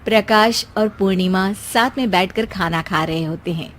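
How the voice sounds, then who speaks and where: A woman narrating a cartoon moral story in calm, scripted storytelling. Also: mid-range voice, normal speed.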